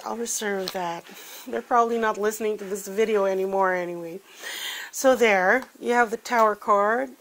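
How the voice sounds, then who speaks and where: A woman's voice: mid-range voice, slight background noise. A woman with a congested voice, giving a chatty weekly tarot reading with many fillers. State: congested from a cold. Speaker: tarot reader.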